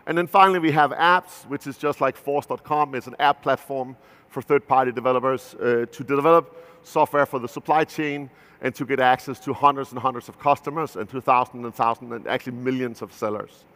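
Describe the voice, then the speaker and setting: A man's voice: medium pitch, fairly even intonation, a little fast, fairly bright tone. Danish man giving a loose keynote talk. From Denmark. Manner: loose and conversational, many filler "uh"s and restarts. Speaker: company founder giving a keynote. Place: large conference stage. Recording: long hall reverb from a big venue.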